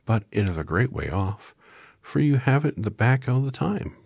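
A high, squeaky little mouse queen from a storybook, as a deep-voiced man puts her on. The voice sits far above his own and bounces around in pitch. The delivery is close and dry. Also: big pitch swings, normal speed, vocal fry, a little mellow, slightly rough, dry recording, full character voice. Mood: kindly. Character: squeaky tiny queen of the mice.

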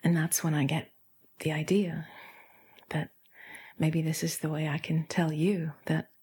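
A woman with a breathy, often whispered voice, softly and haltingly narrating an intimate first-person erotic roleplay straight to the listener. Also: low-pitched, flat delivery. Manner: soft, halting, confiding delivery. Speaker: audio erotica performer.

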